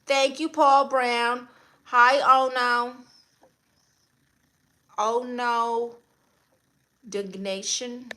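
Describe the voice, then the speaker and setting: A woman's voice: on the high side, fairly even intonation, drawn-out words, pauses often, sharp tone. A woman with a Brooklyn accent hosting a casual livestream, greeting viewers and answering comments in a playfully sassy, blunt way. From Brooklyn, New York, USA. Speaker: livestream host.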